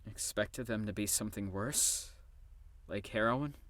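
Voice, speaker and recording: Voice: mid-range voice, unhurried, a bit bright, no echo, lightly acted. An actor playing a guarded, sardonic patient in an audio drama, wary and reluctant, with a dry sarcastic manner.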